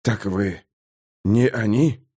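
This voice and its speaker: A man voicing a shaken but polite captive investigator with a subdued, halting manner in an audiobook story. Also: on the low side, mellow, husky, no echo, clean studio sound. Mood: stunned.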